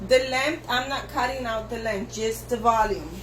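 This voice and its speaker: Spanish-speaking lady stylist talking thru a haircut, kinda high voice, echoey salon. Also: a bit bright, slight background noise. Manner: slow, step-by-step instruction with repetitions. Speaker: hairstylist. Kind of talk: haircut tutorial narration. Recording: echoey room with faint ambience.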